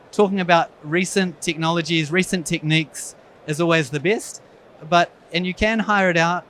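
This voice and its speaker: A man's voice: sits high, fairly bright tone. A man with a New Zealand accent, an eye surgeon giving a frank, wry conference talk with self-deprecating humor. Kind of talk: conference presentation.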